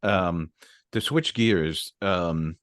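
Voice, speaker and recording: A man's voice: deep voice, extremely slow, fairly bright tone, no echo, studio quality. A man hosting an interview, asking curious, thoughtful questions and affirming his guest. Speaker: interview host.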